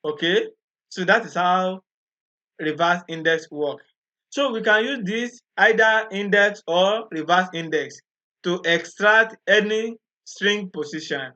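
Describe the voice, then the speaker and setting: A man's voice: high-pitched, sharp tone. A man patiently explaining programming step by step in a screen-recorded walkthrough, often repeating points. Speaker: programming tutor. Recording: dull lo-fi recording.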